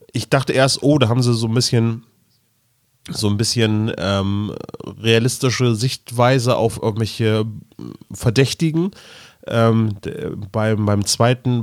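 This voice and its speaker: A man's voice: mid-range voice, no echo, clean studio sound. A man co-hosting a podcast in casual chat, often searching for words and repeating himself. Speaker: podcast co-host. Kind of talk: casual chat about nostalgic audio dramas.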